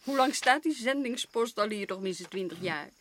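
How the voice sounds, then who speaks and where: An actress playing a colonial official's reproachful, exasperated wife in a radio play, chiding and articulate. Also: female voice, a little high, lively intonation, moderate pace, a bit bright. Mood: dry, frank.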